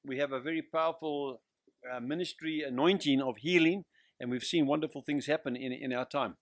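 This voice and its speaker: An older man from Central Africa with a southern African accent, a guest pastor giving personal testimony in a measured, hesitant way. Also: fairly high pitch, fairly even intonation, no echo. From Central Africa (Zimbabwe, Zambia region).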